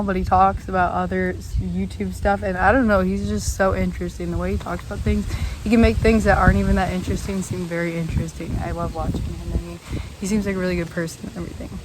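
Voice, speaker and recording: A young woman chatting casually to a camera set on a chair outdoors, rambling with lots of ums and apologies, her throat still a little rough after a cold. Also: medium pitch, poor audio. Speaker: vlogger. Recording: outdoor noise, audible vehicles. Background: outdoor ambience with passing vehicles.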